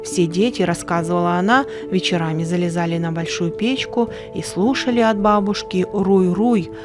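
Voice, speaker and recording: A woman's voice: on the low side, normal speed, over music. A woman reading scripted documentary narration as the narrator.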